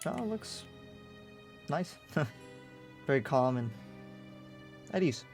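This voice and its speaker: A man playing an easygoing, agreeable newcomer to town in an improvised Minecraft anime roleplay, replying casually and a little haltingly. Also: mid-range voice, big pitch swings, a little mellow, faint music behind. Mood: curious, agreeable.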